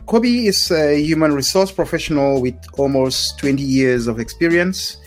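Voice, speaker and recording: A man hosting a business podcast, with a voice in the middle pitch range for a man. He reads an introduction with frequent "uh"s, then moves into his questions. Faint music plays under his talk. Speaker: podcast host.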